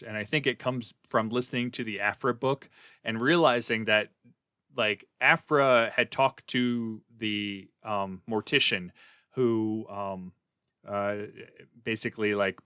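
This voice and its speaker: Male podcast panelist, lower register, casual opinionated review talk, very little room sound. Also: pushed and bright, clean tone, dry recording, studio quality.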